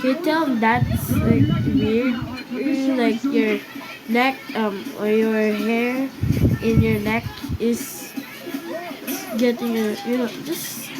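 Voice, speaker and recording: Slow, halting woman with a cold. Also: on the high side, steady tone, loud music behind the voice, poor audio. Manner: hesitant, lots of ums and restarts. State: has a cough and a cold. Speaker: vlogger. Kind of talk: casual narration while coloring. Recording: loud background noise.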